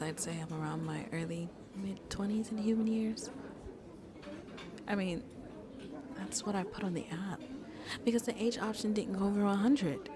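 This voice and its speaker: A woman playing a shy, gentle century-old vampire who seems in her twenties, soft-spoken and hesitant in an ASMR roleplay, often dropping to a near-whisper. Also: fairly low pitch, close to an everyday voice. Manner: hesitant, apologetic, halting, breathy near-whispers.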